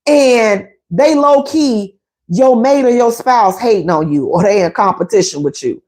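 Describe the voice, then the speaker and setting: A woman's voice: a little high, unhurried, darkish tone. A woman, hosting a casual relationship advice talk, emphatic and conversational, repeating her points.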